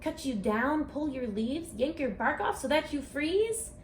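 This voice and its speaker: A woman reading a rhyming picture book aloud for children, speaking expressively and deliberately, straight to her young listeners. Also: sits high, sing-song. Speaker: children's book author and read-aloud presenter. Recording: echoey room with faint background noise.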